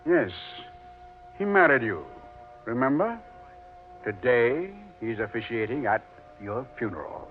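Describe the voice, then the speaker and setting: A man playing a sardonic, smugly amused Satan who taunts with deliberate, polite menace in old-time radio acting. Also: mid-range voice, animated, close to an everyday voice. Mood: mocking. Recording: tinny vintage radio recording with music.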